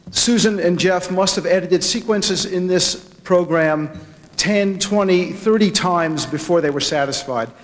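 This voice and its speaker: A man giving an acceptance speech, somewhat halting, with repeated words. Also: high voice. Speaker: documentary producer accepting an award. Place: large hall at an awards ceremony. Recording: echoey large hall with long reverb.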